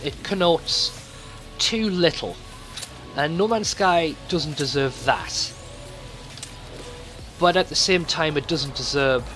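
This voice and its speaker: A man hosting a gaming video, talking casually and thinking aloud in a conversational let's play commentary. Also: fairly high pitch.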